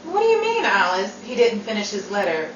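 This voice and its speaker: Actress as a wry, animated wartime army gal, echoey. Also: female voice, medium pitch, brisk, distant mic. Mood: concerned. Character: wry, frank wartime army woman with soft heart. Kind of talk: 1940s-style radio drama acting. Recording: noisy, dull, echoey room from afar.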